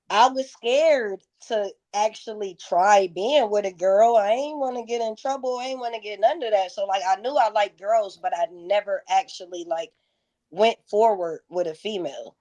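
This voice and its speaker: A woman's voice: mid-range voice, a little mellow, lo-fi sound. A woman in her thirties telling stories candidly in a casual interview, with slangy, profane, emphatic phrasing. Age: 30s. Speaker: interview guest, music performer.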